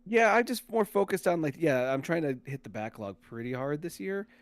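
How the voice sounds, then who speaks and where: A man's voice: on the high side, lively intonation, fairly quick, no echo. A man hosting a podcast, chatting casually about games in a blunt, slangy, opinionated way. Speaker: podcast host.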